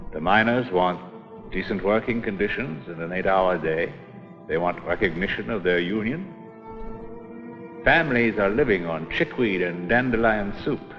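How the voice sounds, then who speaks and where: An actor playing an earnest social reformer and newspaper reporter in an educational film drama, speaking in a deliberate, pointed way. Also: male voice, lowish voice. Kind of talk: educational film re-enactment acting. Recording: muffled old film soundtrack, reverberant.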